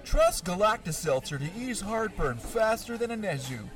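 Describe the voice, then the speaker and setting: A man's voice: high voice, very animated, moderate pace, fairly bright tone, recorded from a distance. A man voicing an upbeat, salesman-like announcer in a parody advertisement. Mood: upbeat, selling. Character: upbeat radio commercial announcer.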